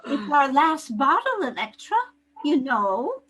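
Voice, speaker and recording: A woman playing a gentle, eccentric old lady who likes her wine, with a dainty, dreamy manner, in a comic readers theater piece. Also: high-pitched, very animated, slow, heavily acted, poor audio. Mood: cozy, dreamy. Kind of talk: comedic readers theater acting.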